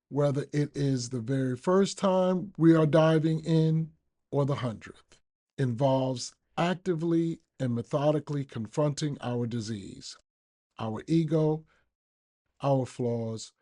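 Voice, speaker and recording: A man reading a daily reflection aloud for a recovery podcast, with measured, deliberate phrasing. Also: mid-range voice, darkish tone. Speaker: guest reader on a recovery podcast.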